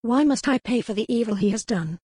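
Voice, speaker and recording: A defiant young noble lady, as a woman narrator puts her on, earnest and impassioned in formal old-style speech. The voice sits a little higher than usual. It is recorded close and dry. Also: expressive, fairly quick, dark tone, husky, no echo.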